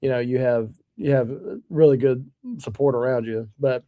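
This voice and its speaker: Mellow-voiced man chatting as an interview guest. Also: mid-range voice, fairly even intonation, normal speed, dry recording. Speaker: guest, stadium PA announcer. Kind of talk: casual interview chat.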